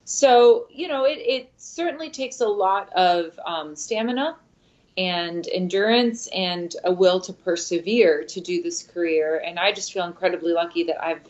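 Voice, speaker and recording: Woman, spanish was her first language, lowish voice, thoughtful answers w lots of ums, kinda muffled recording. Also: darkish tone, soft background music. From Michigan, USA. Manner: thoughtful, measured, many fillers. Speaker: guest, opera singer. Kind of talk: interview answers. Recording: dull lo-fi sound.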